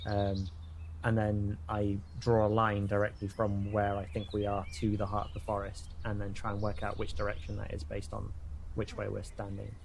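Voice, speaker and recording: A man's voice: fairly low pitch, completely flat delivery, normal speed, gravelly, slight background noise. A man with a British accent playing a tabletop role-playing game, thinking aloud in casual, hesitant chat.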